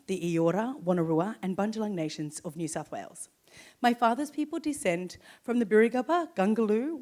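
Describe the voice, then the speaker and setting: An Australian woman giving a ceremonial welcome from a stage, speaking warmly and inviting the audience to join in. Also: mid-range voice. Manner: warm, inviting, encourages the crowd to join in. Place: stage in a hall.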